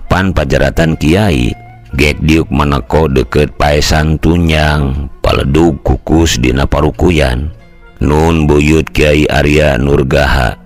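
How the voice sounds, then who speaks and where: An older man with a West Javan Sundanese accent, telling a folk tale in a colloquial, conversational way. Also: extremely low pitch. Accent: Sundanese-speaking West Java. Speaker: storyteller. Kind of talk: traditional folk tale narration with acted dialogue.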